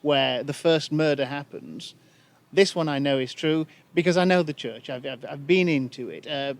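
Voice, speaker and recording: A man's voice: on the high side, moderate pace, gravelly. A man hosting a podcast interview, hesitant and full of fillers and repeated words. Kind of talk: casual interview conversation.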